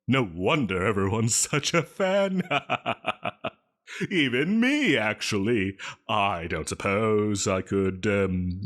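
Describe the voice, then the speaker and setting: An obsessive male supervillain in an audio roleplay. His pitch swoops up and down over slow, savoured phrasing. He is theatrical and giggly, mixing mock politeness with flirtatious menace. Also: fairly bright tone, full character voice. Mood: giddy admiration. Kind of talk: solo audio roleplay addressed to listener.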